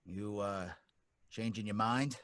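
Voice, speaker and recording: A man's voice: fairly low pitch, steady tone, takes it slowly, fairly bright tone, dry recording, studio quality. An actor playing a wary, working-class male taxi driver who talks in folksy slang and grows suspicious of his passenger. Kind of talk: live radio drama reading.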